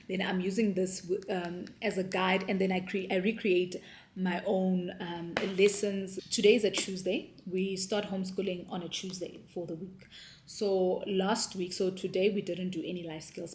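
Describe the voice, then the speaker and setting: South African mom talking in an echoey room. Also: female voice, fairly low pitch, slight background noise. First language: not Afrikaans. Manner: relaxed, many ums and restarts. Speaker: homeschooling mom and vlogger. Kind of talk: casual explainer vlog.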